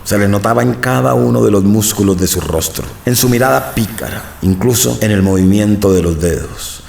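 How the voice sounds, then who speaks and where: A man narrating a radio drama in the first person, measured and brooding. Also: lowish voice, gravelly, darkish tone, roomy sound, slight background noise.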